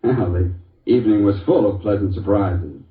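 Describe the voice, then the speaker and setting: An actor playing a genial, wry husband who tells a folksy, hesitant story in an old-time radio commercial. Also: male voice, fairly low pitch, moderate pace, distant mic, close to an everyday voice. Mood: pleased. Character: genial, wry husband telling beer testimonial. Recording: muffled, echoey old radio recording.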